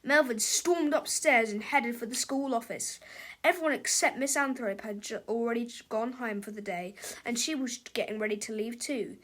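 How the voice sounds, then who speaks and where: A woman's voice: a little high, moderate pace, a bit bright. A woman reading a children's storybook aloud as narrator, in a dramatic, theatrical manner.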